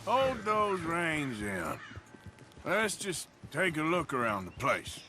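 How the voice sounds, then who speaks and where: A dry-witted outlaw. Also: male voice, on the high side, very animated, takes it slowly. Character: gruff male outlaw, dry and cautious, middle-aged. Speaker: game character. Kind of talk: cutscene voice acting. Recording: game audio with music under it.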